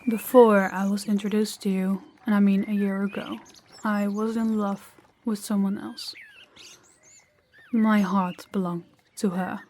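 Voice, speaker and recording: A woman playing a gentle, candid young princess in an intimate audio roleplay, soft-spoken and confiding with hesitant pauses. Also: mid-range voice, level tone, a little hiss or hum, lightly acted. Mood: earnest, uneasy.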